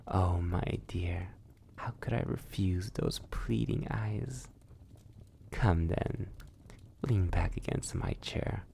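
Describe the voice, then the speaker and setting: A man's voice: low voice, vocal fry, a little mellow, heavily acted. A man playing a sadistic, possessive, centuries-old vampire in an intimate ASMR roleplay, silky and menacing, often dropping toward a whisper. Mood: soothing, possessive.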